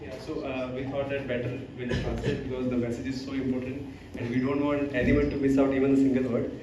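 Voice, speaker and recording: A man's voice: mid-range voice, flat delivery, fast talker, muffled recording. A man with an Indian English accent, hosting the session and offering to translate, politely interjecting. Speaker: event host and translator. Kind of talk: casual moderating. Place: large echoey hall. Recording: echoey hall recording from far off, with noise.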